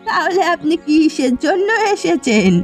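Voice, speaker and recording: Cartoon role, actress: old village fisherwoman, high-pitched, firm, wary and defiant, soft music underneath. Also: animated, unhurried. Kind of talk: Bengali cartoon story voice acting.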